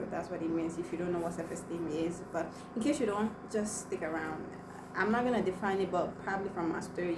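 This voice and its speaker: A young woman whose first language is Igbo, chatting to viewers as she tells a personal story with many fillers. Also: lowish voice. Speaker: vlogger. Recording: echoey room, mic at a distance, noisy.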